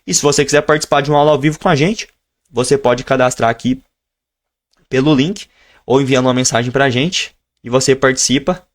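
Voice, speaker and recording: A man teaching a live online lesson, explaining at a relaxed pace and stretching out example phrases slowly so learners can follow. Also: mid-range voice. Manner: switches between teaching tone and slow, drawn-out modeled phrases. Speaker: English teacher.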